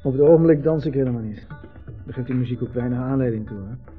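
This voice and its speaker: Wry Dutch loner brother, played by an actor, muffled recording. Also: male voice, mid-range voice, quick pace, faint music behind, close to an everyday voice. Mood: detached. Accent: Netherlands Dutch. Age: 30s. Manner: dry, evasive, sardonic wordplay. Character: reclusive, wry older brother living on an island. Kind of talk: 1970s Dutch radio drama acting. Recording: muffled, lo-fi vintage radio recording.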